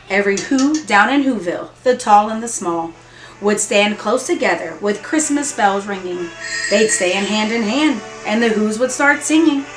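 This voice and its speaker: Woman reading a storybook in an echoey room. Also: fairly high pitch. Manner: dramatic emphasis and drawn-out repetition. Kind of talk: expressive children's storybook read-aloud. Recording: echoey room, music and effects behind. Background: music and sound effects from an app.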